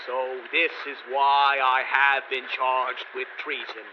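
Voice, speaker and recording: A man playing a slow, troubled king on trial. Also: mid-range voice, completely flat delivery, natural voice. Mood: defiant. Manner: measured, earnest. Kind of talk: radio drama acting, verse. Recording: dull lo-fi audio with faint hiss.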